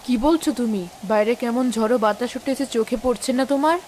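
A woman's voice: on the high side, quick pace, a little mellow, lightly acted. A woman acting a worried, cautious village wife and mother in a cartoon drama, anxious and scolding. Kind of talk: dramatic Bengali animated story acting. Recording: rainstorm noise behind voice.

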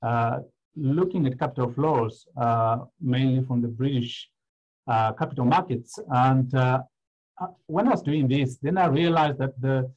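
Male academic from Zimbabwe, halting delivery, muffled video-call sound. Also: mid-range voice, darkish tone. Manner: hesitant, many "uh" fillers. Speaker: guest speaker, economic historian. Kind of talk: academic online presentation. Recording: dull lo-fi video call audio.

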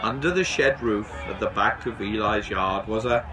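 A middle-aged man reading a children's storybook aloud outdoors, in an animated, expressive way. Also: lowish voice, moderate pace. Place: outdoors on a garden bench.

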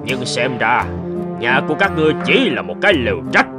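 A man's voice: mid-range voice, very animated, brisk, loud music behind the voice, natural voice. A man voicing a brash, cruel debt collector in a fairy-tale cartoon, sneering and threatening like a bully. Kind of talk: animated fairy-tale acting.

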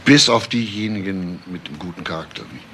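An actor playing a calm, persistent police detective who questions pointedly in a German crime drama. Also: male voice, low voice, a little fast. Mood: dryly ironic. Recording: very reverberant hall, faint noise.

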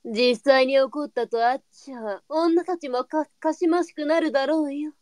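A woman's voice: sits high, moderate pace, a little mellow, no echo, lightly acted. A woman playing a pragmatic, brusque brothel mistress in a live period voice drama, with a blunt and knowing way of talking. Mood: grave. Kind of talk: online live voice drama acting.

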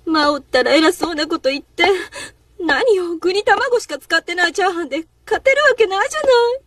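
A woman's voice: extremely high pitch, animated, fairly quick, fairly bright tone, no room sound. An actress playing a fretful, scolding young woman in an anime, fussing in exasperation. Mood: exasperated. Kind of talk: anime acting. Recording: distant sound under music.

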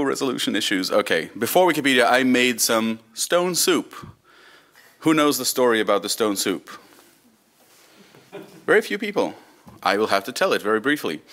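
A man's voice: fairly low pitch, fairly bright tone. A man in his thirties from Israel, a Hebrew speaker, gives an informal, wry conference talk with some storytelling. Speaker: conference speaker, volunteer digital librarian. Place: conference room, speaking from a lectern.

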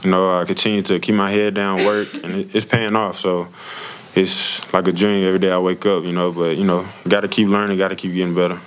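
A man's voice: sits low, flat delivery, brisk. A man in his early twenties, a college football player giving plain-spoken, modest answers at a press conference. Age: early 20s. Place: indoor press conference room. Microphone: tabletop microphone. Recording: noisy press room.

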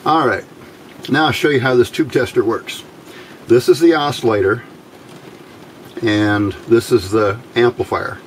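A man giving an unscripted hands-on electronics demonstration in a measured, explanatory way, pausing between short phrases. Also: medium pitch, poor audio. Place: indoors at a workbench. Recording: steady background room noise.

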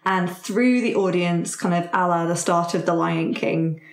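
Woman with lowish voice, roomy sound. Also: measured pace. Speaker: player in a roleplaying podcast. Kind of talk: casual improvised storytelling. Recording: echoey room.

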